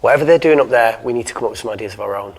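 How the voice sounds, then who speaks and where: A man's voice: mid-range voice, racing, breathy edge, natural voice. An actor playing a sardonic male tech specialist in a film drama, blunt and natural in delivery. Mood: focused. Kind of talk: naturalistic screen acting. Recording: prominent music under dialogue.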